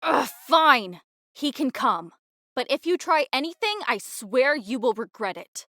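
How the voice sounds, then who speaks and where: A woman's voice: sits high, animated, measured pace, bright, forceful tone, dry recording, clean studio sound, full character voice. A woman playing a distrustful, blunt young adventurer in a fantasy drama, with a sardonic manner. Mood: suspicious, curt. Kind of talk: fantasy audio drama acting.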